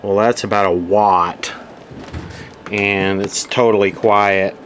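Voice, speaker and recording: Man, lowish voice, casual repair narration, matter-of-fact, thinking aloud, noisy background. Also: steady tone, slow pace, fairly bright tone. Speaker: electronics repair video host.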